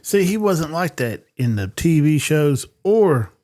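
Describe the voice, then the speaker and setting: A man's voice: fairly high pitch, sing-song, unhurried, darkish tone. A man speaking informally as a podcast co-host in a group chat. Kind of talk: casual chat.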